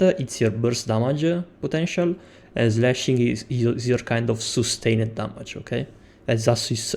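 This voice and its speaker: A young man from Italy with an Italian accent, explaining a game build step by step as a tutorial maker, pausing often with fillers. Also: mid-range voice, vocal fry, darkish tone. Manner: explains step by step with frequent hesitations. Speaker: game guide creator. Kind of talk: gameplay tutorial.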